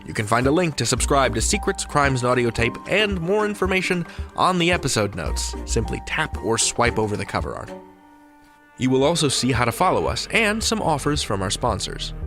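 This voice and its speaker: A podcast host reads the closing credits and listener requests in a measured, announcer-like manner. His mid-pitched voice moves up and down expressively. Music plays clearly behind him. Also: big pitch swings. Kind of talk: scripted outro and credits reading.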